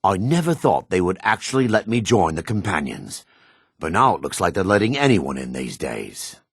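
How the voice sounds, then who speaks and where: Raspy, proud dark elf warrior. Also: male voice, low voice, big pitch swings, moderate pace, no room sound, clean studio sound, full character voice. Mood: proud, disdainful. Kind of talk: fantasy video game character dialogue.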